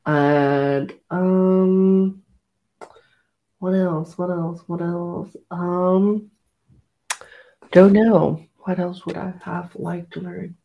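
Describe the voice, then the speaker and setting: A man's voice: sits high, steady tone, drawn-out words, vocal fry, long pauses, lo-fi sound. A young man co-hosting a podcast, chatting casually and hesitantly with many fillers. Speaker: podcast co-host. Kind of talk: casual chat.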